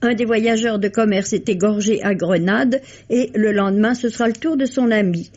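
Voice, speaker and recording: A woman reading a scripted book review on the radio with a slightly husky voice, on a vintage recording. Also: mid-range voice, moderate pace, a little mellow, muffled recording.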